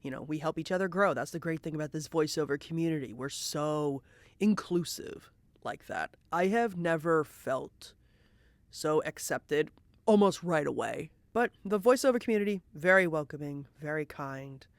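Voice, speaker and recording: A woman's voice: sits low, expressive, moderate pace, no room sound. A woman giving a casual, encouraging tutorial to viewers as a voice actor, demonstrating as she explains. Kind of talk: casual instructional talk to viewers.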